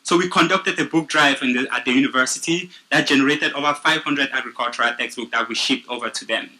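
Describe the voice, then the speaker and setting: Earnest bright-voiced Cameroonian man. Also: medium pitch, fast, low-quality recording. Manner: earnest, steady storytelling. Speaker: nonprofit founder pitching. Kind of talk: prepared pitch speech.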